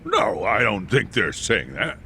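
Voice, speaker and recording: An actor playing a probing, skeptical political interviewer who puts pointed questions in a game's broadcast scene. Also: male voice, lowish voice, huge pitch swings, unhurried, pushed and bright, a little hiss or hum, exaggerated voice. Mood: challenging, pointed. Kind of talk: broadcast interview in a game cutscene.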